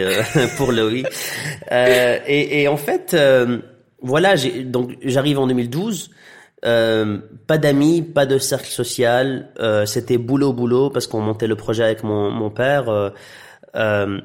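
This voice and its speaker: A man's voice: mid-range voice, measured pace. A man in his thirties with Arabic as his first language, raised in Tunisia and Belgium, talking casually as an interview guest with many fillers and restarts. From Iraqi heritage, raised in Tunisia and Belgium. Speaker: interview guest, actor and director.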